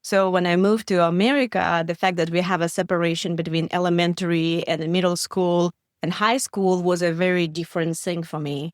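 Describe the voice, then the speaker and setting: A woman's voice: on the low side, fairly even intonation, brisk, few pauses, no room sound, studio quality. A middle-aged woman from Ukraine with a Ukrainian accent and Russian as her first language, answering interview questions in an earnest way with small stumbles and non-native grammar. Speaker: guest, refugee aid director.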